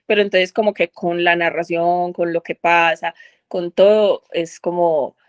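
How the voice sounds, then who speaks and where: A woman's voice: lowish voice, fairly even intonation, measured pace, clear, resonant tone, no echo. A woman in a book club, talking through her thoughts in a rambling way with many fillers and restarts. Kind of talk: casual group discussion.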